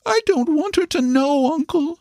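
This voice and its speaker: A man's voice: animated, moderate pace, darkish tone, no room sound, clean studio sound. A man doing a tense, anxious young boy. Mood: pleading. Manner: high, strained, earnest.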